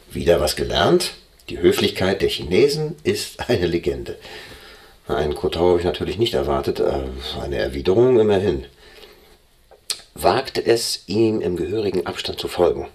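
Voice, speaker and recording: An actor playing a timid, self-dramatizing male amateur sleuth, dictating a diary in clipped phrases in a German radio play. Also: deep voice.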